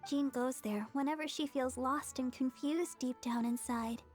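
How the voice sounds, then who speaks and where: High-voiced, caring young church maiden. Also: female voice, steady tone, a little slow, a little mellow, faint music behind. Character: sweet, caring, devout young church woman. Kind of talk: anime video game voice acting.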